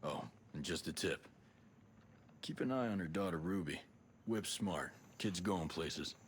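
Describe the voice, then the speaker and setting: Middle-aged special forces soldier, low pitch, dry, confident, video game dialogue. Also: male voice, measured pace, a little hiss or hum. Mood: relaxed briefing. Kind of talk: video game cutscene acting.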